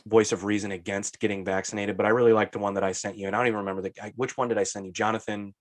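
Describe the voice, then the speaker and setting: A man's voice: on the low side, flat delivery, racing, dry recording. Blunt, profane man with a head cold. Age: 30s. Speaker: podcast co-host. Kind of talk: casual chat.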